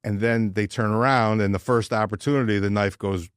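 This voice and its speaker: Low-voiced man talking sports, earnest and emphatic. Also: steady tone, brisk, clean tone, no room sound, clean studio sound. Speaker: podcast co-host. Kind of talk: casual sports talk, giving opinions.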